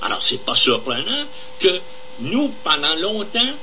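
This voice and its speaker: Male Québécois political commentator, higher-pitched and very forceful, emphatic and indignant, live radio with faint noise. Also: very animated, unhurried, rough recording. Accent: Québécois French.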